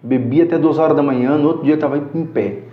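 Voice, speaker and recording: A talkative man in his thirties with a fairly high voice. He tells stories from his life in a casual way, laughing often and acting out what people said. The sound is echoey and a bit dull. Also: quick pace. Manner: chatty, laughs often, acts out quoted dialogue. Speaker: podcast guest. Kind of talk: casual storytelling.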